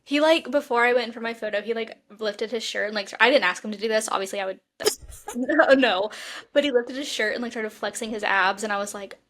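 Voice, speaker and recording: A woman co-hosting a podcast, chatting casually about TV shows in an animated, fannish way. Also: on the high side, fairly quick. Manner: chatty, animated fan talk, frequent "like". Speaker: podcast co-host.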